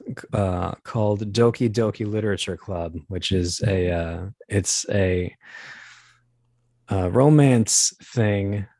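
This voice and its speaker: A man chatting casually as an interview guest, telling anecdotes in a halting, thinking-aloud way. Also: lowish voice, darkish tone, no echo. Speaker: guest, audio drama casting director.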